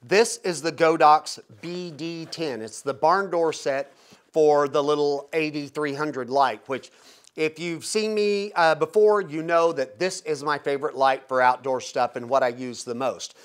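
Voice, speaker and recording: A man's voice: on the high side, unhurried. A man in his fifties talking casually and informally as he explains and demonstrates a product. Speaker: product reviewer, host. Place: indoor photo studio with backdrop.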